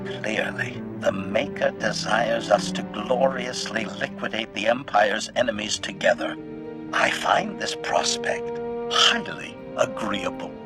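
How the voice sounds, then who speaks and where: An actor voicing a cold, bloodthirsty but loyal assassin droid in a video game, speaking formally and deadpan through a robotic filter. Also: male voice, lowish voice, slow pace. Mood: proud, menacing. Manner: formal, clipped, announces each statement with a label. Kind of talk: scripted video game acting. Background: background music.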